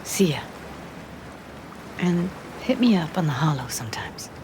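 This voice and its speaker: A woman's voice: deep voice, big pitch swings, unhurried, noisy background. An actress playing a world-weary, frank yet tender young city woman in a video game, speaking in a casual, clipped, intimate way. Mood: tender, resigned.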